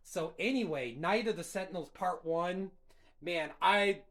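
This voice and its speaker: Man, host, casual chat, rambling and excited, slightly high voice. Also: a little slow. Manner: rambling and enthusiastic, many fillers. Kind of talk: casual solo chat about a cartoon rewatch.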